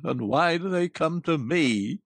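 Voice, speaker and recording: Woman doing a low gruff old miser, fearful and stubborn, slow, dry sound. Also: animated, no echo, exaggerated voice. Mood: pleading. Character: frightened old miserly man, stubborn then pleading.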